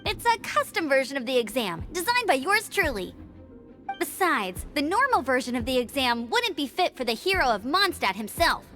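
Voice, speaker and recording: High, spirited young knight heroine. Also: female voice, moderate pace, faint music behind. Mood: confident, playful. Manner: bold, energetic, theatrical delivery. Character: spirited young woman knight, cheeky, confident and eager. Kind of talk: animated video game voice acting.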